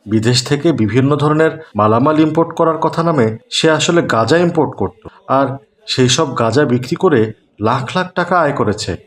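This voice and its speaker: A man's voice: medium pitch, expressive, moderate pace, close to an everyday voice. A man voicing an upright, moralising father in a cartoon. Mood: grave, accusing. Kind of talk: Bangla cartoon dubbing.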